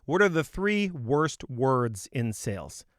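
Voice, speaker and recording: A man's voice: medium pitch, sing-song, slow, fairly bright tone, dry recording, studio quality. A man coaching on sales in an informal, opinionated talk, speaking emphatically and conversationally with punchy rhetorical questions.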